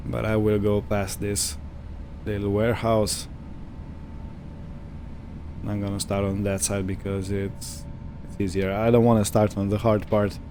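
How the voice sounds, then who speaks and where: A man giving casual let's-play commentary on a video game, musing to himself in an unhurried way. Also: lowish voice, little pitch movement, dark tone, slight background noise. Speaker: gamer narrating own farming simulator playthrough.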